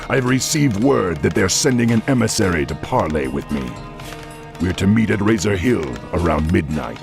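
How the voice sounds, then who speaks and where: An actor playing a noble, steadfast orc war chief in a fantasy game, gravelly and authoritative, speaking in a measured way. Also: male voice, low-pitched, animated. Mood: grave, approving. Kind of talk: fantasy game character acting. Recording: music under the voice.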